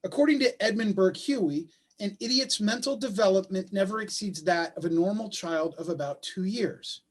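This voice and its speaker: A man's voice: high voice, normal speed. A man playing a bitter, anguished father and husband in a staged play reading, speaking with emphatic insistence over a video call. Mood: reading aloud, wry.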